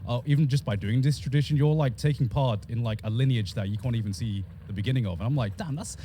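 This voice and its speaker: Male streamer, relaxed rambling talker, soft warm tone. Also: mid-range voice, quick pace, slight background noise. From England. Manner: rambling, repeats words, laughs often. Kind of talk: casual chat.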